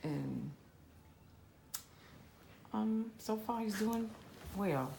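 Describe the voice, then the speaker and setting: A woman's voice: medium pitch, animated, drawn-out words, gravelly, mellow, echoey room, slight background noise, heavily acted. A woman playing a worried mother in her fifties in a role-played family therapy session, talking hesitantly and searching for words. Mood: earnest. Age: 50s. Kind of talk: improvised role play.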